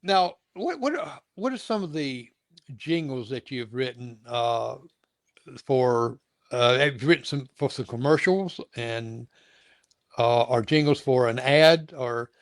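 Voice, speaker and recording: A man's voice: mid-range voice, animated, unhurried, dry recording. A middle-aged or older man hosting a casual interview, talking in a rambling, folksy way and stammering over repeated words. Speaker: show host interviewing a guest.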